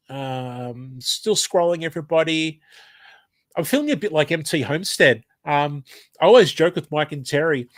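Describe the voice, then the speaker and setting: A man's voice: fairly high pitch, fairly bright tone, dry recording. Australian man with a blocked nose. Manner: hesitant, many ums, apologetic. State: blocked nose from hay fever. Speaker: live stream host. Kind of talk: casual live stream chat reading comments.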